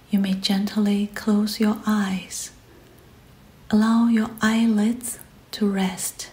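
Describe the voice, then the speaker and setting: A woman guides a meditation in a soft, breathy voice that often drops close to a whisper. Her tone is dark and relaxed at a medium pitch. She speaks very slowly and soothingly, leaving space between short, gentle phrases. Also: rough recording.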